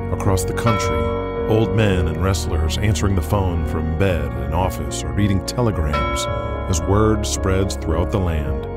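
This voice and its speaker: A man giving scripted dramatic narration with a measured storytelling manner. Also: sits low.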